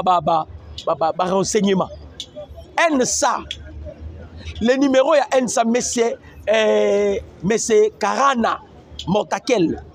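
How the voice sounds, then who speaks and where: A man with a Congolese accent giving emphatic political news commentary, repeating phrases for effect. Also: high voice. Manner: emphatic, repeats phrases, mixes French and Lingala.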